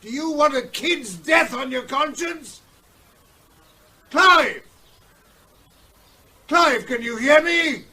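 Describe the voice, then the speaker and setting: Earnest, bright-voiced negotiator. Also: male voice, extremely high pitch, expressive, slow pace, pauses often, full character voice. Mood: urgent, pleading. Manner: earnest, persuasive. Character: earnest, persistent male friend of a gunman, pleading calmly. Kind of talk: naturalistic radio drama acting.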